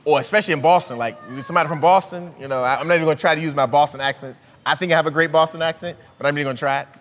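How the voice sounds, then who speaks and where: A man's voice: fairly high pitch, fairly even intonation, rapid-fire, fairly bright tone, big-room sound. A man preaching a sermon, conversational and full of rhetorical questions to the congregation.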